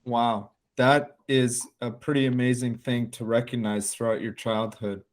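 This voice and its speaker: A man's voice: medium pitch, little pitch movement, measured pace. A man hosting a podcast, introducing and questioning his guest in an interview style. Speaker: podcast host.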